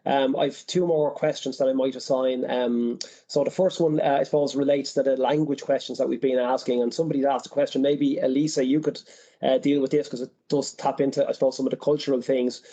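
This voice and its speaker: A man moderating an online panel, speaking in a measured way with many fillers. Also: mid-range voice, fairly even intonation, warm tone. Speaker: webinar moderator. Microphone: video call. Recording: muffled video call audio.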